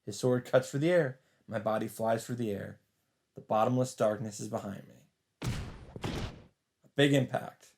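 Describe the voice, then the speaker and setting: A man's voice: mid-range voice, normal speed, creaky, long pauses, darkish tone. A man doing a dramatic read-aloud as host of a visual novel playthrough. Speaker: let's play host reading aloud. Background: faint game music.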